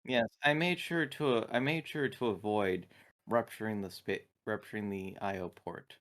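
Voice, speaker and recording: Man playing a formal, low-voiced polite android. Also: fairly bright tone, lightly acted. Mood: careful. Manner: measured, overly courteous. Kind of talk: tabletop roleplay in character.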